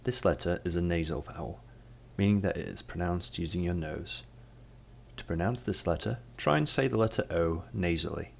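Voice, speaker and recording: A young man with a very deep voice and a gravelly edge delivers a scripted lesson. His first language is Polish, and he explains each point in a measured, steady way, pausing to demonstrate sounds. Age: young adult. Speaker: student presenter.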